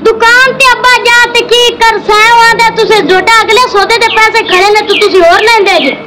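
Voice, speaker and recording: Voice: extremely high pitch, fairly even intonation, a little fast. A performer playing a poor labourer's distraught child in an amateur drama, with a Pakistani Punjabi accent and a plaintive, lamenting delivery. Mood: complaining, pleading. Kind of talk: amateur social drama acting. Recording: loud background noise, outdoor traffic ambience.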